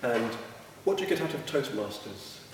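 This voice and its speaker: A man with a monotone voice asking and answering interview questions in plain, easy speech. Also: medium pitch, moderate pace, noisy background. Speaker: club member. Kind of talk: casual interview. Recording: echoey hall from far off.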